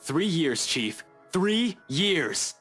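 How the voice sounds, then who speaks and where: Headstrong, animated young detective. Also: male voice, fairly high pitch, drawn-out words, soft background music, exaggerated voice. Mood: disbelieving. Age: young adult. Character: headstrong, indignant young male police detective. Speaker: police detective in a visual novel. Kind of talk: video game voice acting.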